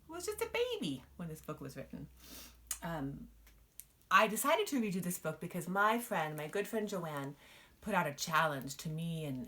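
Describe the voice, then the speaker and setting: A woman reading a children's picture book aloud, expressively, with dramatic pauses and emphasis. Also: low voice, fairly bright tone. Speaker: storybook reader.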